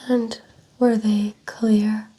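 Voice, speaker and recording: A woman speaking softly in an ASMR medical exam roleplay, giving gentle clinical instructions as a doctor. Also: mid-range voice, little pitch movement, extremely slow, breathy edge. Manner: soft, whispery, gently clinical instructions and remarks. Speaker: ASMR performer playing a doctor.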